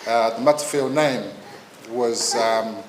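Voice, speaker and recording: A man in his fifties speaks at a funeral in a voice of middle pitch, pausing and searching for each phrase. His words come heartfelt and unpolished, and the room around him sounds echoey with a faint hiss underneath. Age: 50s. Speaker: family member giving a eulogy. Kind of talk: funeral eulogy. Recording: roomy echo.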